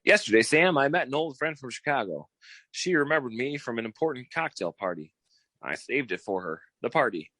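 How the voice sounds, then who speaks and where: An actor playing a cocky, boastful, theatrical private detective in a 1940s style radio comedy, pitching himself in a showy, wheedling way. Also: male voice, expressive, a little fast, a bit bright, no room sound. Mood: breezy, scheming. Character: cocky, theatrical fast-talking male private detective eager for partnership.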